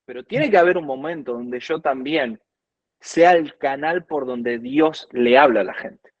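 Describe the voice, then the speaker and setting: A man with an Argentine accent talks through his views as a worship minister, earnest and steady, often quoting scripture. His voice sits at a middle pitch. The sound is dull and dry, with no room echo. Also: measured pace. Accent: Argentine Spanish. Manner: earnest, quotes scripture at length. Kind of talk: conversational teaching.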